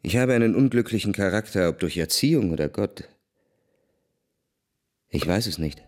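A man's voice: fairly low pitch, lively intonation, normal speed, a little mellow, natural voice. An actor playing a jaded young aristocratic officer, confessing his boredom and restless melancholy in a radio play. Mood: weary confessing. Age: young adult. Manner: world-weary, confessional.

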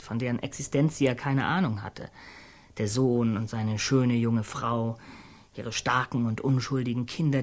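An earnest storyteller in a radio play, speaking at a middling pitch in halting, colloquial phrases. The voice searches for words and circles back on them with naive, awestruck conviction. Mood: moved.

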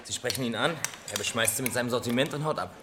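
An actor playing a matter-of-fact police officer in a radio drama, casual and clipped. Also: male voice, mid-range voice, very fast, background noise, lightly acted. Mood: casual, gossipy.

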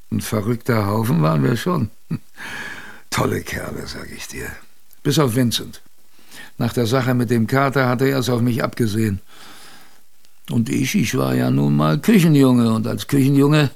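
Very gravelly seafaring storyteller. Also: male voice, mid-range voice, a little mellow. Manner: colloquial, vivid, confiding storytelling. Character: seasoned former ship's kitchen boy reminiscing about his voyage. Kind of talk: radio drama narration.

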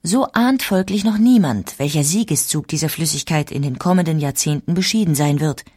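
Woman, husky, lowish voice, dramatic documentary narration, dry. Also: brisk, a little mellow, dry recording.

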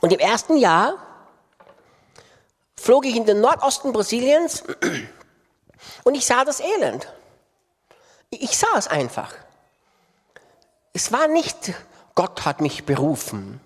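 A man's voice: high voice, very animated, slow, long pauses, fairly bright tone, husky. A man preaching a sermon with impassioned delivery and emphatic repetition. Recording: long reverb of a large hall.

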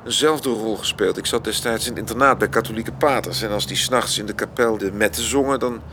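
An actor in a radio play voicing a polite, reflective young male astronomer who speaks in a halting, understated way. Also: on the low side, fairly bright tone, slight background noise.

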